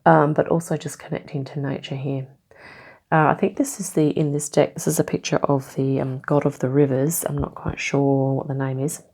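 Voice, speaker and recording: A woman giving a casual tarot reading, rambling gently with lots of ums and okays, a bit nasal from a cold. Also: low voice, flat delivery, moderate pace. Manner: meandering, many ums and okays, gentle reassuring tone. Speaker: tarot reader.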